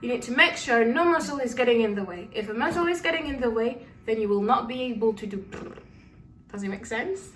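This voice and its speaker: A young adult woman teaching a singing lesson in a relaxed, encouraging way, checking in with her students and demonstrating warm-up exercises. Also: a little high, fairly quick, background noise. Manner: demonstrates lip trills and sung syllables. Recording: echoey room, voice a little far from the mic.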